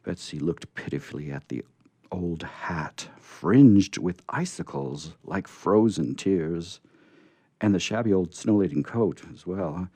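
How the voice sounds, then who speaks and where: A man reading a storybook aloud as narrator in a dramatic, expressive way. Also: sits low, slow, no echo.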